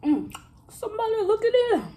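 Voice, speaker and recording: A woman in her thirties chatting casually with her viewers during a mukbang eating video, talking straight to them with drawn-out exclamations between bites. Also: very high-pitched, sing-song, dark tone, a little hiss or hum. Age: 30s. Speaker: vlogger.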